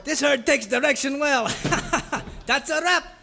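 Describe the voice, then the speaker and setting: Shrill, bossy film director. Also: male voice, takes it slowly, gravelly, sharp tone, exaggerated voice. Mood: urgent, pleased. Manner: barking orders, emphatic. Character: bossy, blustering male film director on set. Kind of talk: parody of old radio serial acting. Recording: noisy ambience with crowd behind.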